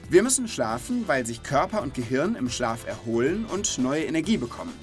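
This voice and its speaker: A man hosting a children's knowledge podcast, explaining casually and colloquially with animated reactions. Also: mid-range voice, brisk, music in the background.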